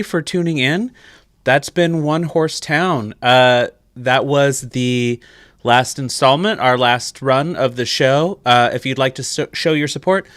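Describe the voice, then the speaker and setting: A man's voice: mid-range voice, fairly bright tone, no echo. A man presenting a theatre stream, casually wrapping up in a hesitant, upbeat way. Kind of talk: casual closing announcement.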